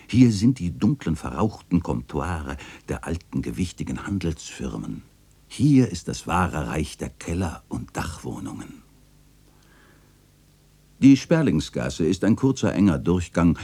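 An actor playing a wistful old chronicler, narrating a literary radio play in a measured, lyrical and contemplative way, with a husky voice. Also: male voice, warm tone, exaggerated voice. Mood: vivid, lyrical. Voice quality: very deep, husky. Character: wistful old male chronicler reminiscing about his beloved alley.

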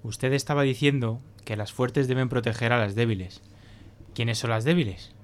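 A man's voice: medium pitch, normal speed, natural voice. Man playing an inquisitive male pupil. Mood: curious. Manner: questioning, earnest. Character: inquisitive, puzzled young male pupil questioning his teacher. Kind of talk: scripted audio drama.

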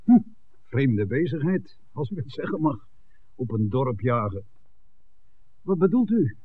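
An actor playing a middle-aged village innkeeper, courteous, guarded and inquisitive, in a 1960s Dutch radio play. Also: male voice, mid-range voice, very animated, a little slow, husky. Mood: curious, guarded. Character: polite, reserved village innkeeper, a father born locally. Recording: thin, phone-like 1960s radio recording.

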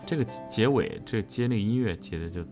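A man's voice: fairly low pitch, lively intonation, a little fast. A man from Henan chatting casually as a host about an audio drama, often repeating and rephrasing for emphasis. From Henan, China. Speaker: host and voice actor. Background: audio drama and music playing.

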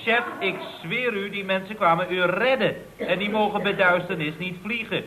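A man's voice: sits high, moderate pace, a crowd in the background, close to an everyday voice, poor audio. A man playing an earnest, exasperated employee in a radio comedy, protesting deferentially. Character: exasperated, earnest male employee correcting his boss. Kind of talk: 1970s Dutch radio comedy acting. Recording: old radio recording, faint noise.

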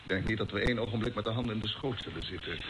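A man's voice: fairly low pitch, rapid-fire, noisy background, lightly acted. A man playing a composed, formal space expedition commander who explains things with assurance in an old radio drama. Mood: composed, assured.

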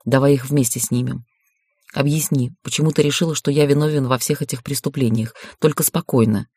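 Guy reading as fantasy raven lord, calm and in charge but tender, hushed low voice, soothing, measured. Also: no room sound, clean studio sound, close to an everyday voice. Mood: gentle, persuasive.

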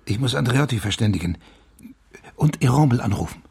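An actor playing a calm, discreet senior police official in a radio crime drama, speaking in a controlled way. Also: male voice, medium pitch, brisk, creaky, slightly rough, heavily acted. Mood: composed. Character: composed, discreet senior police official managing a secret affair.

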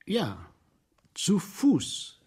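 Curt, husky, insistent fellow. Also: male voice, high voice, wildly swooping pitch, extremely slow, darkish tone, soft background music, heavily acted. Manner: terse, emphatic. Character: curt, insistent man named Brissot answering a writer. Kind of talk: German radio play acting.

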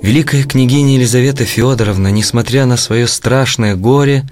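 A man narrating a religious historical audio play from a script in a solemn, measured way. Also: medium pitch, a little mellow. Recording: clear music bed under narration.

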